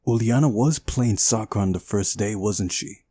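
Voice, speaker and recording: A man's voice: fairly low pitch, moderate pace, mellow, husky, no room sound. A man reading a visual novel aloud during a playthrough, narrating the story and adding casual asides of his own.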